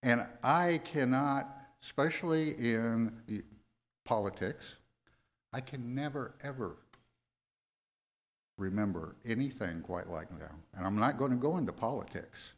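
An American man in his seventies preaching a sermon, going verse by verse in a halting, deliberate way and repeating phrases for emphasis. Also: mid-range voice, animated. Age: 70s. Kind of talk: sermon, verse-by-verse Bible exposition.

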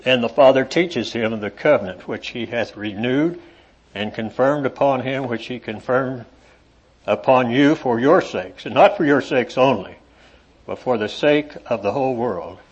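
A man preaching to a congregation in an evening meeting, reading scripture aloud with rising emphasis and talking with halting fillers in between. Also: medium pitch, slightly rough. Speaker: lay preacher. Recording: dull lo-fi recording.

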